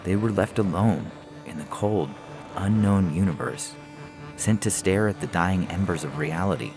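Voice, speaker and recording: A man's voice: sits low, moderate pace. A man narrating a scripted science fiction story as a podcast reader, with music behind him. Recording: music under the voice.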